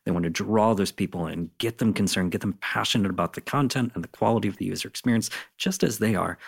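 A man's voice: on the low side, fast, gravelly, breathy edge. A man speaking as an interview guest, explaining things in an enthusiastic, conversational and emphatic way. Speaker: interview guest, content designer.

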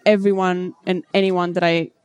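A woman from Bulgaria in her thirties, a startup founder answering interview questions in a measured, businesslike way. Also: on the low side, flat delivery, darkish tone, clean tone, no echo.

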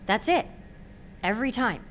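A woman's voice: on the high side, expressive, normal speed, a little hiss or hum, lightly acted. An actress playing an intense, wry, guarded young woman in her twenties, in natural audio drama dialogue. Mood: earnest, guarded.

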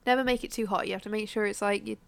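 A woman co-hosting a podcast in casual chat, a bit bunged up from a cold, chatty and quick to laugh. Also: medium pitch, steady tone, creaky. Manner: chatty, laughs often, drawn-out fillers. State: congested from a cold. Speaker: podcast co-host.

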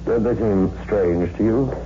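A deep-voiced, mellow man played by an actor guarding a dark secret in a vintage radio horror play. He speaks formally and ominously, with measured gravity, on a dull, hissy old recording. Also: close to an everyday voice. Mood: ominous, explanatory.

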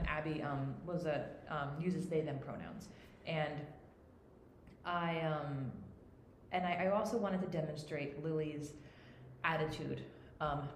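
A woman speaking as a writer in an unscripted panel discussion, halting and often restarting as she searches for words. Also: fairly low pitch. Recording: echoey room, faint background noise.

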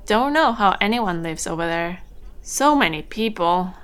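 A woman playing a warm, chatty older housewife in a naturalistic audio drama. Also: on the low side, animated, slow pace, smooth. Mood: chatty, mildly grumbling.

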